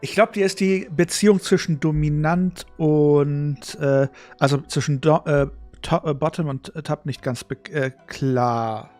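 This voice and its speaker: The man you would find on a late game stream, halting and casual in a highish voice, soundtrack playing underneath. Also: darkish tone, soft background music. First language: German. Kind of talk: casual live commentary, reading game dialogue aloud.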